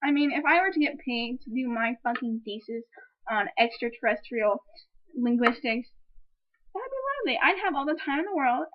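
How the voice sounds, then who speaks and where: A young woman in her twenties with a high voice, reviewing a movie in an exasperated, rambling rant full of swearing. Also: animated, normal speed, dull audio. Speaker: film reviewer. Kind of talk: ranting casual movie review. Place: room at home with a piano.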